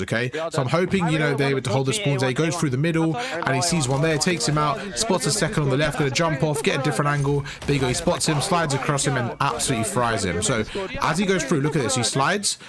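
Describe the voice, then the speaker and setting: Fast-talking man breaking down gameplay, slightly croaky. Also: fairly high pitch. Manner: casual, slangy, repeats stock phrases. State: sore throat, slightly croaky. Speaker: gaming YouTuber, host.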